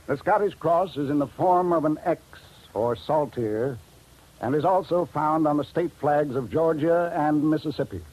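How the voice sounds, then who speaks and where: A male radio narrator with a somewhat high, husky voice. He delivers formal scripted material in a measured, stately manner. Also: a little mellow, rough recording. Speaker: narrator of educational radio feature.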